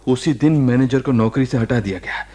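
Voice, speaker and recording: A man acting an ailing married former boss in a radio play, defensive and earnest, speaking haltingly to justify himself. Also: medium pitch, dark tone, natural voice. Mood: earnest, explaining. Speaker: radio drama actor.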